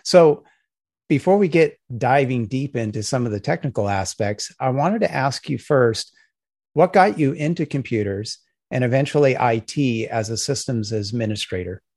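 A man hosting an interview podcast, speaking in a measured way and asking structured questions. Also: medium pitch, animated, a little mellow, no echo, clean studio sound. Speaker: podcast host.

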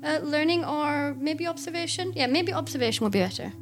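Woman game master, high voice, hesitant, casual, faint music behind. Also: animated, a little fast. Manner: casual, hesitant, filler-heavy explanations. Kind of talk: running a tabletop game.

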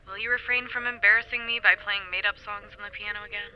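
A woman's voice: medium pitch, steady tone, a little fast, lo-fi sound, a little hiss or hum, close to an everyday voice. A woman playing a guarded daughter with a dry, terse manner in an audio drama. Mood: impatient.

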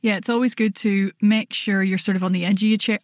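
A woman's voice: mid-range voice, fairly even intonation, fast talker, no room sound, studio quality. Woman voice coach giving pointers. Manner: practical, instructive, self-deprecating. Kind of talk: casual podcast conversation. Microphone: podcast microphone, seated.

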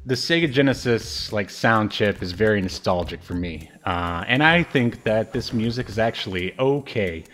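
A man's voice: on the low side, animated, normal speed, few pauses, fairly bright tone, slight background noise. A man co-hosting a podcast, chatting casually and jokingly about a game in loose, slangy phrases that often trail off. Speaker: podcast co-host.

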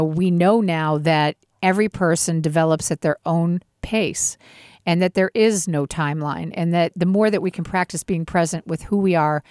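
A woman's voice: low voice, a little mellow, smooth, no echo, clean studio sound. A woman in her fifties, a guest who founded a nonprofit, speaking in a heartfelt, flowing, inspirational way. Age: 50s. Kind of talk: conversational interview.

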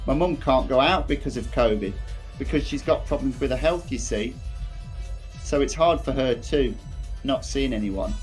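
A man's voice: mid-range voice, animated, moderate pace, soft background music, lightly acted. An actor playing an anxious young prisoner waiting for his court date, speaking in simple, hesitant phrases in an audio drama monologue. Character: young male prisoner, anxious, awaiting trial. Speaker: prisoner on remand.

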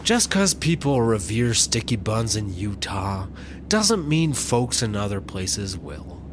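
An actor playing a mild, straitlaced Utah family man with a deadpan manner, in a comedy audio drama. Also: on the low side, slow pace, background noise.

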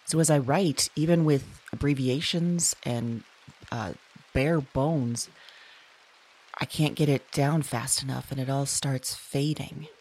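A woman playing an uneasy, self-conscious dreamer in an audio drama, speaking haltingly into a voice memo and trailing off. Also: extremely low pitch, darkish tone, slightly rough, full character voice, rough recording. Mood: hesitant, drowsy.

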